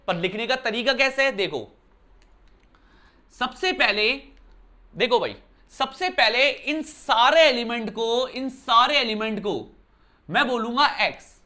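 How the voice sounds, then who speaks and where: A man teaching maths in a bright, clear voice, talking to his students casually. He explains step by step and keeps asking them whether they follow. He is from Uttarakhand and records indoors. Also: extremely high pitch. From Uttarakhand, India. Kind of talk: classroom lesson explanation. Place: indoor room.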